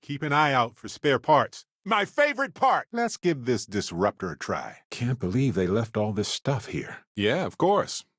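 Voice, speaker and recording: A man voicing a warm, wry, chatty middle-aged space explorer companion in a video game, conversational and playful. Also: medium pitch, slightly rough, dry recording, clean studio sound, exaggerated voice. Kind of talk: video game voice acting, short character lines.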